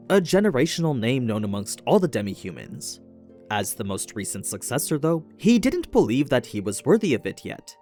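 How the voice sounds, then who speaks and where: A man reading scripted narration in a measured explainer manner, as for a recap video. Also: mid-range voice, darkish tone. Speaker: narrator of an anime lore recap show. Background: background music.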